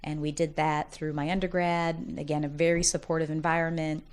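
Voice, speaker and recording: A low-pitched woman in her forties talks with easy, upbeat energy. She chats like a college dean at ease in an interview, telling stories at length and often laughing as she talks. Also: flat delivery, a little fast, smooth. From United States, Massachusetts. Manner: talkative, upbeat storyteller who often laughs while talking. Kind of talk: casual interview conversation.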